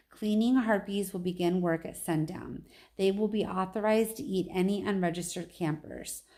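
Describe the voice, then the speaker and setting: A woman's voice: on the low side, darkish tone, lightly acted. A woman reading aloud as an officious, callous camp director issuing a formal, matter-of-fact notice in a storybook. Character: officious camp director dictating a callously menacing form letter.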